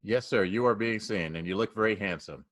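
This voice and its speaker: A man's voice: on the low side, fairly quick, fairly bright tone. A man moderating a panel on a video call, making friendly and polite introductions.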